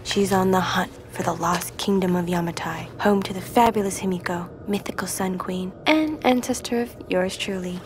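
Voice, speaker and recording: A woman's voice: on the low side, normal speed, few pauses, background noise, lightly acted. A woman playing an upbeat, teasing and loyal young friend in a video game cutscene. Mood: playful, mock-grand.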